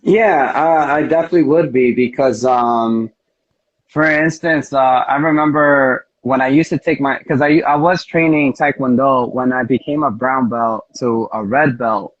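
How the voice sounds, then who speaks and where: A man speaking casually as a fighter being interviewed, telling things in an earnest, rambling way. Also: mid-range voice. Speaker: MMA fighter, interview guest. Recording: dull lo-fi audio.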